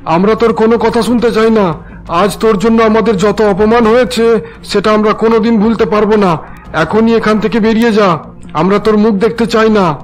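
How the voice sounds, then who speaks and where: Man acting a high-pitched, angry cartoon father. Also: fairly even intonation, moderate pace, faint music behind, lightly acted. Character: stern, angry middle-aged father, unforgiving.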